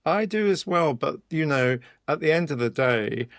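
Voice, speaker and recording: Hoarse gravelly man. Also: fairly high pitch, lively intonation, normal speed, a bit bright, no room sound, studio quality. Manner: thoughtful, rambling with restarts. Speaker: podcast co-host, criminologist. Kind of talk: casual podcast chat. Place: home study. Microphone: headphones and home microphone.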